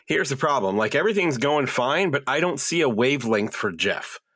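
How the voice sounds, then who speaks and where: A man's voice: mid-range voice, lively intonation, normal speed, fairly bright tone, breathy edge, no echo. A man co-hosting a gaming podcast, loose and joking, dealing with a lingering cough. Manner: loose, joking, swears casually. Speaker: podcast co-host. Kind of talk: casual podcast chat.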